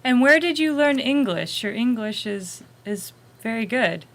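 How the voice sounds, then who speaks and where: A woman's voice: a little high, expressive, unhurried. A woman from the United States co-hosting a conversation show, asking callers questions encouragingly. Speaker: co-host. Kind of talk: casual chat.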